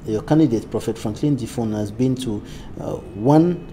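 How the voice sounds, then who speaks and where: A man's voice: medium pitch, normal speed, warm tone, a little hiss or hum. A male news anchor interviewing a guest, asking long, carefully framed questions.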